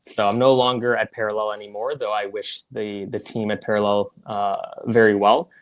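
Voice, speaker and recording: Young guy from singapore chatting as a podcast guest, lowish voice, laid back. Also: little pitch movement, normal speed, poor audio. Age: 20s. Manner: easygoing, conversational, uses "like" and "you know". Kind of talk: casual interview.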